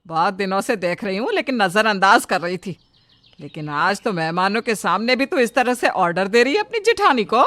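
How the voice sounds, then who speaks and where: A woman acts out an Indian mother-in-law from a cartoon moral tale, middle-aged and quick to take offence. The voice is bright and forceful, with an indignant, scolding edge. The sound is close and dry. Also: medium pitch, very animated, dry recording. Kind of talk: cartoon moral-story voice acting.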